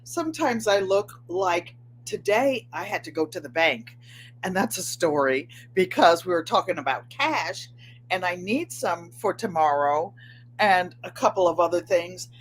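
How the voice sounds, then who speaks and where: A woman in her seventies with a New England accent, slightly nasal and twangy, chatting excitedly to viewers as host of her livestream from a room at home. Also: lowish voice, unhurried, a little hiss or hum, poor audio. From Connecticut, USA. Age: 70s. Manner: chatty, excitable, gestures with hands. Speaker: channel host. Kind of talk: casual livestream chat.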